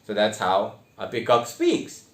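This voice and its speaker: A man with an Indian accent giving a theatre lesson in a deliberate, step-by-step way with emphatic stressed words. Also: lowish voice, wildly swooping pitch. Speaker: theatre teacher. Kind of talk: instructional online lesson with demonstrations. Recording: echoey, reverberant room.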